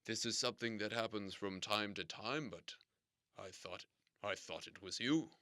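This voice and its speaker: Man voicing a pompous station boss, deep voice, crisp and bright, slow formal delivery, theatrical audio drama. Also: no room sound, studio quality. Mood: explaining. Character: grandiloquent male radio station boss. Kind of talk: comedic audio drama acting.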